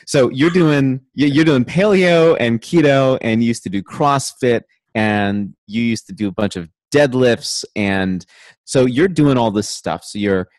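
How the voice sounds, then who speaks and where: A man's voice: medium pitch, a little slow, a little mellow, no room sound. A man in casual podcast chat, joking often and restarting his sentences. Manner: restarts and stammers, laughs a lot. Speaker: podcast co-host.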